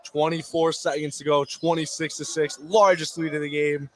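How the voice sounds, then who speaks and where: A man doing live play-by-play radio commentary of a high school basketball game in short, clipped phrases. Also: flat delivery, normal speed, fairly bright tone. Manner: clipped phrases calling action as it unfolds. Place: indoor basketball gym, courtside.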